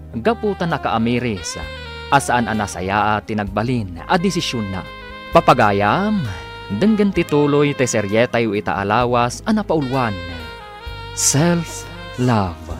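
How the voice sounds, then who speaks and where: Guy announcing radio soap, dramatic n drawn out, music behind. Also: mid-range voice. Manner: emphatic, drawn-out delivery. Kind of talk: scripted dramatic radio narration.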